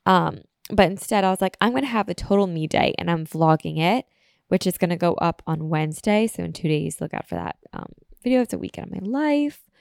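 A woman's voice: fairly low pitch, lively intonation, brisk, gravelly, a little mellow, no echo, clean studio sound. A woman in her twenties hosting her own podcast alone, rambling casually with lots of fillers, a little sniffly from a cold. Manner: rambling, chatty, filler-heavy. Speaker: podcast host. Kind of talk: casual solo podcast chat.

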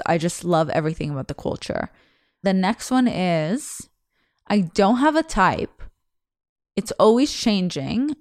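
A woman's voice: fairly low pitch, darkish tone, clear, resonant tone, dry recording, clean studio sound. A young woman whose first language is Russian, a podcast host talking candidly and chattily about herself, rambling with restarts. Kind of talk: casual confessional monologue.

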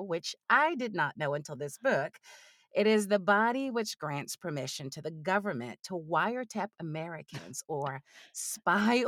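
A woman who narrates audiobooks, speaking as an interview guest in an animated, conversational storytelling way. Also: on the low side, no room sound, studio quality.

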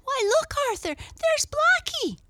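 A woman voicing an excitable, affectionate little girl in a children's storybook, with eager, gushing exclamations. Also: mid-range voice, measured pace, dry recording, studio quality, pitched above the performer's own voice, natural voice. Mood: amazed joy. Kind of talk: children's storybook character reading.

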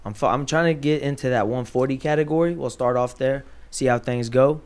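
A young man from Nashville, an amateur boxer, talking in a relaxed, halting way with lots of fillers in a casual interview. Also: mid-range voice. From Nashville, Tennessee.

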